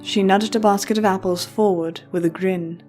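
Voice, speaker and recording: A woman's voice: mid-range voice, fairly even intonation, normal speed, a little mellow. Woman, storyteller, scripted audiobook narration, mid-range voice, background music.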